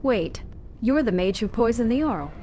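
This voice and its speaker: A woman's voice: on the high side, normal speed, a little hiss or hum, lightly acted. A woman voicing a composed young female warrior hero in a fan-voiced video game. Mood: calm. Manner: calm, direct, questioning.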